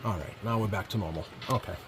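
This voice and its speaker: A man's voice: on the low side, quick pace. A man giving a casual hands-on product demo, speaking informally and a bit hesitantly as he thinks aloud. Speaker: product reviewer. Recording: clear steady background noise.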